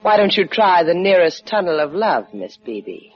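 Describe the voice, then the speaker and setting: Low, dry, teasing American woman. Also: big pitch swings, normal speed, soft background music. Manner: dry, wry, clipped. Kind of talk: 1950s radio drama acting. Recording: dull lo-fi old radio recording.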